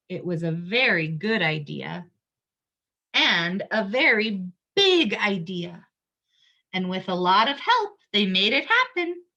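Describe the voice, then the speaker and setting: A woman reading a picture book aloud to young children, expressively, pausing to explain things simply. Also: mid-range voice, pushed and bright, dull audio.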